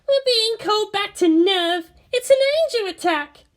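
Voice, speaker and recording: A woman's voice: very high voice, lively intonation, unhurried, voice pushed up. A woman voicing a haughty, fiery teenage girl pilot in a story, boastful and scornful. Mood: irritated.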